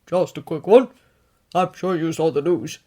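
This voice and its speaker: A woman voicing a big, gentle grown male hero who comforts his young student in a fatherly way. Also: low-pitched, unhurried.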